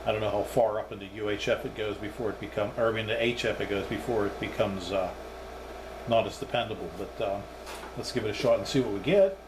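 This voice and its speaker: A man's voice: fairly low pitch, steady tone, fairly quick, background noise. A man giving a casual, unscripted hands-on demonstration as a hobbyist reviewer, thinking aloud as he goes.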